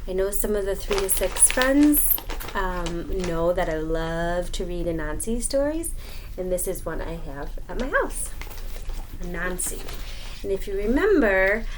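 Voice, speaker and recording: Slow, very expressive woman reading a storybook. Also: lowish voice, background noise. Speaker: storybook reader. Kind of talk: expressive read-aloud to children.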